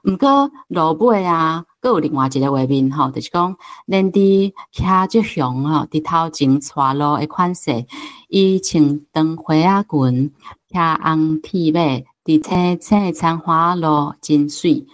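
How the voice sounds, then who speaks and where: A woman's voice: low voice, unhurried. A woman with a Taiwanese accent giving reflective, unscripted commentary as a guest, with frequent hesitations and trailing particles. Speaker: book club host, guest speaker.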